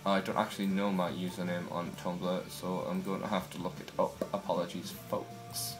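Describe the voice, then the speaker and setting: A man's voice: low-pitched, little pitch movement, normal speed, over music. Chatty young Geordie man, wry asides. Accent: Newcastle Geordie. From North East England. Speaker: vlogger. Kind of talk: casual first video blog.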